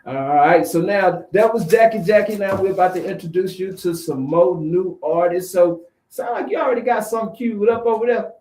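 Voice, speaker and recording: A man hosting a radio show, chatting casually with a guest in a brisk, bantering way. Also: high voice, a little mellow. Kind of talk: casual interview and show patter. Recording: roomy studio sound.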